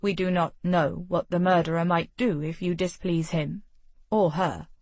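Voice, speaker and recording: A woman voicing a calm, protective young nobleman who speaks firmly and steadily in an audiobook. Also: high voice, dry recording. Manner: calm, firm and measured.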